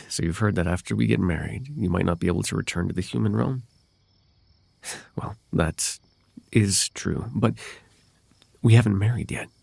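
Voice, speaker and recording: Male actor as possessive fae prince, low husky voice, halting tender pleading, formal wording, intimate ASMR roleplay. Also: darkish tone, no room sound, full character voice. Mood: guarded, possessive. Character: possessive, lovelorn fae royal heir, male, pleading and anxious.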